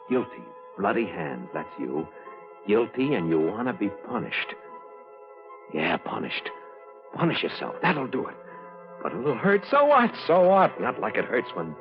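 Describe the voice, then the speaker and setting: A man's voice: medium pitch, lightly acted. An actor playing an unhinged middle-aged man acting out a guilt-crazed murderer, declaiming feverishly and repetitively in old radio thriller style. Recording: muffled old radio broadcast recording.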